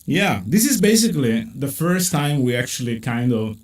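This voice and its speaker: Animated man hosting a video call. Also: normal speed. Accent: Italian. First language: Italian. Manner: animated, many fillers, pitch jumps when excited. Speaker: host. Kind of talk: casual video-call chat.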